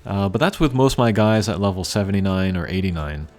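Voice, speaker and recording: A man giving casual, unscripted gameplay commentary as a channel host, thinking aloud in a measured way. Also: low voice, lively intonation. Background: game music.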